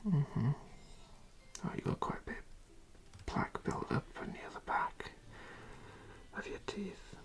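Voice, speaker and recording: A person whispering slowly and soothingly in a breathy, creaky voice as a dentist instructing a patient in an ASMR roleplay. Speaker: ASMR creator playing a dentist. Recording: lo-fi close-up ASMR recording.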